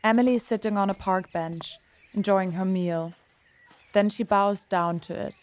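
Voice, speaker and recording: A woman narrating an audio drama in a calm, measured way. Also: medium pitch.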